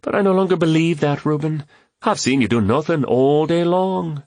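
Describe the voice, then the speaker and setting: Man voicing an arch, witty woman, pitched up. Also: expressive, normal speed, no echo. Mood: teasing. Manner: arch, quipping. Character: witty woman, playfully sparring, teasing dinner guest.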